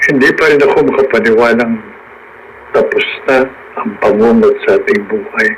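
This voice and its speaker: A man's voice: medium pitch, normal speed, a bit bright, a little hiss or hum, lightly acted, rough recording. An actor with a Filipino accent playing a grateful, tender husband and father freed from wrongful jail, in emotional radio drama style. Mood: tender devotion. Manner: emotional, earnest.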